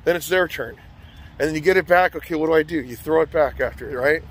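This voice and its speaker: A man's voice: on the high side, quick pace. A man talking to the camera in a rambling, casual vlog, often checking in with "okay?" and "you know". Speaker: vlogger. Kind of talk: casual walking vlog monologue.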